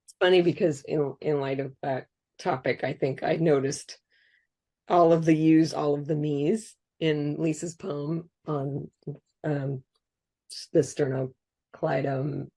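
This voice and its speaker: A woman poet in conversation, animated and reflective about another poem. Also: low voice, unhurried. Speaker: guest poet. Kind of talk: casual conversation.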